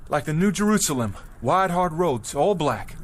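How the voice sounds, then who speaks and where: An actor playing an earnest, dazed pioneer man with a halting, fervent delivery in a dramatic sci-fi production. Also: on the high side, animated, noisy background, natural voice. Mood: awed, prophetic.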